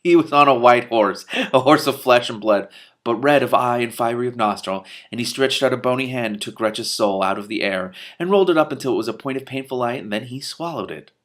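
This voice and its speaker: A man's voice: mid-range voice, a little fast, a bit bright. A man reading a fantasy novel aloud, breaking in with amused asides. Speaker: reader and commentator.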